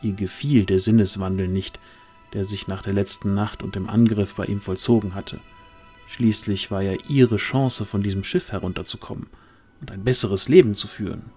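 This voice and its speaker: A man narrating a fantasy audio drama from a script in a measured storytelling manner. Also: on the low side, steady tone, a little mellow, faint music behind.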